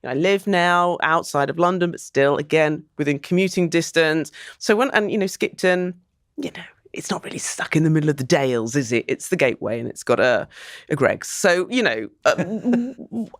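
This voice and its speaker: Inquisitive woman host, low-pitched. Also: animated, moderate pace, no echo, clean studio sound. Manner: inquisitive, keeps asking questions. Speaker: podcast co-host. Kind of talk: casual chat.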